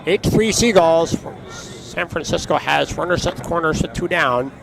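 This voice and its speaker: A man calling a baseball game play-by-play, his voice raspy and hoarse from allergies, chatting between pitches. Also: normal speed, crowd noise, poor audio. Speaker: play-by-play announcer.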